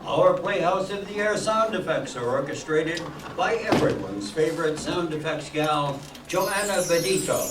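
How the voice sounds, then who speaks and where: A man's voice: a little high, expressive, a little slow, few pauses, over music. A man playing a genial 1940s radio show host, formally announcing and narrating a live stage performance. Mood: welcoming showman. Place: stage of an auditorium with live audience. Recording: echoey hall from far off, faint noise.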